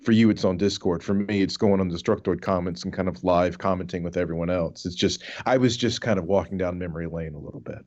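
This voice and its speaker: Male podcast co-host, thirties, low voice, loose rambling nostalgic talk with swearing, slightly dull audio. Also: fast talker, hardly any pauses, a little mellow. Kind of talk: casual reminiscing podcast chat.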